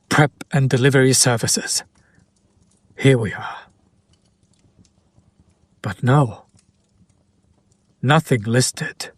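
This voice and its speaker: Man playing a slow-talking, teasing demon captor. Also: medium pitch, no room sound. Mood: wary, exasperated. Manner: slow, teasing, deliberate, with breathy pauses. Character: male demon buyer, smug, teasing, possessive, bemused by his captive. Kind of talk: intimate roleplay audio drama monologue.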